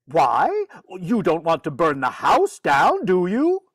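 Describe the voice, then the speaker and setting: Woman playing an exasperated radio daddy, slow and animated. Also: on the low side, no echo, clean studio sound, full character voice. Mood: exasperated, coaxing. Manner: firm, wry, long-suffering. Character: exasperated, firm father of a mischievous girl. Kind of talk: 1940s radio comedy acting.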